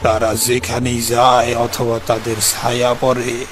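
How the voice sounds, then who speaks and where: A man's voice: mid-range voice, monotone, a little slow, lightly acted. A man playing a polite, inquisitive city man who narrates a horror tale in a dramatic, earnest way. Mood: ominous, suspenseful. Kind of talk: scripted horror narration and dialogue. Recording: loud music under the voice.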